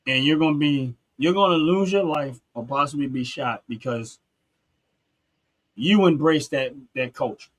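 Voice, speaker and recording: A man's voice: fairly high pitch, unhurried, low-quality recording. Earnest man hosting a talk show. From North Philadelphia, USA. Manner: earnest, repetitive restarts, street-talk phrasing. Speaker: podcast host. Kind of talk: casual talk show discussion.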